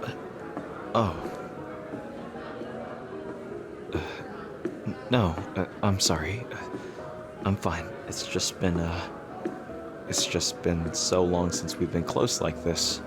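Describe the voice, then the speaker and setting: A man's voice: deep voice, fairly even intonation, takes it slowly, long pauses. A man playing a lovestruck royal rival in a romantic audio roleplay, playful and yearning, with tender, hesitant delivery. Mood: flustered. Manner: teasing, hesitant, tender, formal-leaning phrasing. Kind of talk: romantic audio roleplay, intimate ASMR acting. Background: music.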